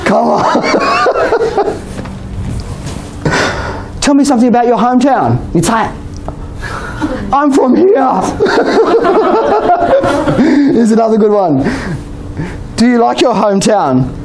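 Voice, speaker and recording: A man with an Australian accent giving a relaxed exam-prep lecture to a class, often checking in with "okay?" and "you know?". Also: extremely high pitch, extremely slow, pauses often, a crowd in the background. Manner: chatty, many "okay?" and "you know?" tags, laughs often. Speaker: IELTS teacher.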